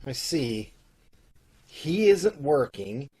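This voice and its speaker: A man playing a flustered middle-aged loan officer in a radio comedy, exasperated, stammering and repeating himself. His pitch swings widely and the tone is a bit husky. The audio comes over a video call and drifts near and far. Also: sing-song, drawn-out words, warm tone, exaggerated voice. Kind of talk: old-time radio comedy acting.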